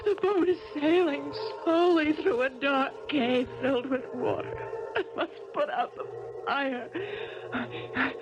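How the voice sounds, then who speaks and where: High-pitched, dramatic and despairing, this is a distraught young woman in a vintage radio play. The voice is wounded, on a dull seventies broadcast recording. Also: steady tone, unhurried, music in the background. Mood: feverish, delirious. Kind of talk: 1970s radio drama acting.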